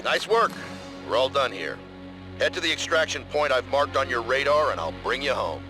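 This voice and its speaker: An actor playing a stern military commander in a video game, giving formal, measured and authoritative mission briefings. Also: male voice, medium pitch, big pitch swings, music in the background, natural voice. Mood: brisk, instructive. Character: stern male military commander briefing a special forces soldier. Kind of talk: scripted game cutscene acting and mission guidance.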